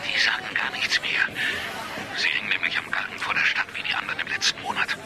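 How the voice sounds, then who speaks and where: A man's voice: fast, a crowd in the background, lightly acted, rough recording. An actor playing a grim male informant who reports bad news drily in a radio drama.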